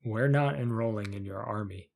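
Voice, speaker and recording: A man playing a terse, protective father who is a tough fighter in an audio fantasy play, with a husky voice. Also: lowish voice, normal speed, darkish tone. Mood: defiant. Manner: terse, firm.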